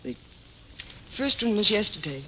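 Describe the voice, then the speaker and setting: An actress playing a frightened, nervous woman with a secret, halting and stammering, in a 1950s radio drama. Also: medium pitch, very animated, bright, forceful tone. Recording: muffled vintage radio recording, hissy.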